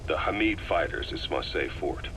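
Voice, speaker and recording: A stern middle-aged military man in a video game. His voice is very low and bright-edged, his questions terse and controlled, with faint noise from a cassette tape. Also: animated, moderate pace, full character voice. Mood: cool, inquisitive. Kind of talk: scripted video game dialogue.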